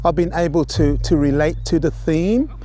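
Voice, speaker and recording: A man giving a reflective interview, speaking in a measured, earnest and somewhat hesitant way. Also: a little high, dark tone. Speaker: interviewee in a documentary.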